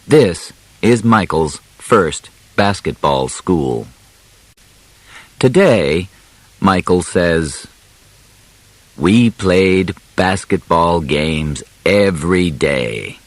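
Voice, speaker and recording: A man narrating an audiobook biography from a script, phrasing deliberately in short, separated chunks. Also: sits low, lively intonation, no echo.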